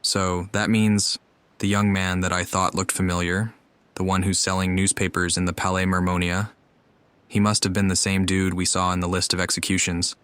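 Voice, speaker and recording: Very deep, flat-toned male gamer. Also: normal speed, clear, resonant tone, dry recording. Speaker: gamer commenting on playthrough. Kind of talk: casual game commentary.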